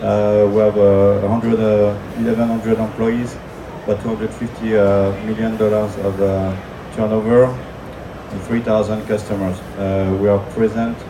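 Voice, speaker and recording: A man's voice: lowish voice, level tone, unhurried. A man with a French accent giving a technical conference presentation, hesitant, full of filler sounds and restarts. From France. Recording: echoey room from far off, noisy.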